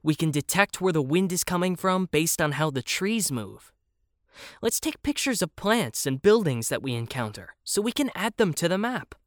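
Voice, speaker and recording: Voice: mid-range voice, expressive, moderate pace, no room sound, studio quality, voice pushed up, natural voice. A storyteller voicing a self-important child who plays at being an explorer, speaking emphatically in a children's story. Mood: proud, explaining. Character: earnest, bossy child explorer playing geographer.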